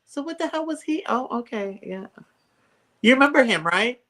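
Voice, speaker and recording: A young woman hosting a casual chat, reacting with quick, animated comments and questions. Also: fairly high pitch. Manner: animated, quick reactions, says "one hundred percent" and "that is wild".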